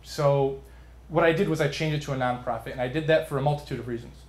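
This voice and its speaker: A man's voice: medium pitch, steady tone, fast, a little mellow. Earnest young man in an echoey room. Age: young adult. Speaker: student contestant pitching a business idea. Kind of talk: explanatory pitch and answers to judges. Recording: roomy, echoing live sound.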